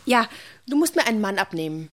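A woman's voice: medium pitch, sing-song, fairly quick, a bit bright. An actress playing a confident, teasing young working woman in a German radio play. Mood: conspiratorial.

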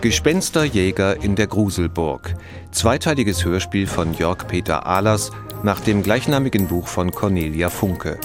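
A man's voice: low voice, expressive, a little slow. A man reading scripted credits as a broadcast announcer. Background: music.

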